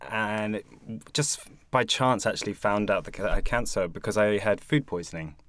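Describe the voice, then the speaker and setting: A young adult from England, speaking as a guest in a conversational interview, reflective and earnest, with frequent fillers. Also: male voice, on the low side, moderate pace, fairly bright tone. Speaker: guest, support group founder.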